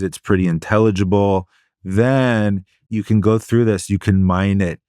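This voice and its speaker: Low-voiced man explaining on a podcast. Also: no echo, studio quality. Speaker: podcast co-host. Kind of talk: casual podcast chat.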